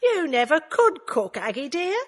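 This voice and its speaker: A woman's voice: sing-song, unhurried. A woman voicing a camp, flamboyant middle-aged man who chatters and tells groan-worthy jokes. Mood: teasing. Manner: flamboyant, teasing, high-flown.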